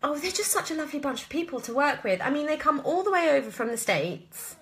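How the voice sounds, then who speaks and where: Bright-voiced British woman, chatty and rambling. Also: high-pitched, very animated, fast. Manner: chatty, rambling, self-deprecating, endearments. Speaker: actress and voice actor answering viewer questions. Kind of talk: casual live Q&A chat. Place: at home, indoors.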